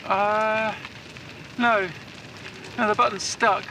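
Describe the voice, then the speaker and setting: A woman acting a shaken but determined, compassionate survivor in a horror audio drama, halting and stammering through her words. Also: medium pitch, steady tone, lightly acted. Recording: noisy outdoor scene effects.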